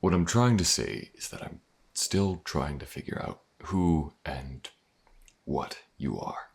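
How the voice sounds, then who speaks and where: A man playing a gruff, guarded but protective mercenary in a fantasy audio roleplay, halting and dry in his delivery. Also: expressive, heavily acted. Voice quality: very deep gravelly husky.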